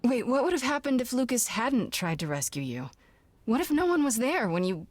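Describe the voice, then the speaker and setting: A woman's voice: on the high side, very animated, moderate pace, no room sound. An actress playing a questioning, uneasy young woman newly made a god in a video game, talking in a plain, casual way. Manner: inquisitive, plain-spoken, casual.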